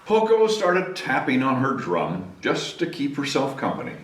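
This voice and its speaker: Guy reading kids book, super swoopy expressive voice, bit far from mic, echoey. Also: medium pitch, moderate pace. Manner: expressive, chatty asides to young listeners. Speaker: storybook reader, city mayor. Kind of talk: animated children's story reading. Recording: roomy echo, distant mic, faint noise.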